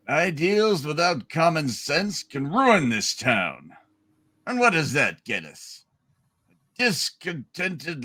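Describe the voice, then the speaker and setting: Guy putting on mean old rich banker, slow n pompous, kinda high for a man, sharp edge, radio play ham. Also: exaggerated voice. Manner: pompous, scornful, deliberate. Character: cold, contemptuous old rich banker villain. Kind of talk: hammed-up amateur reading of a classic radio play.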